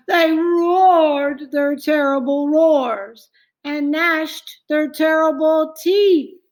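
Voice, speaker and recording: A woman reading a children's picture book aloud in a dramatic, expressive way, stretching out phrases and dropping into hushed tones. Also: high voice. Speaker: storybook reader.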